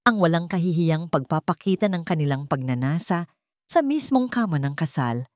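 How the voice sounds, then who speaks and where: A woman's voice: low-pitched, darkish tone, no room sound, clean studio sound. A woman narrator reading a dramatic scripted story.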